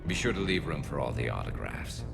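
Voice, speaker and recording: Video game alien veteran: extremely low, creaky rasp, loyal, dryly witty. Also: male voice, sing-song, music in the background, heavily acted. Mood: wry, resolute. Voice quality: gravelly, resonant alien rasp. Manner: dry humour, halting when flustered. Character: battle-hardened alien male soldier, loyal, dryly witty, awkward.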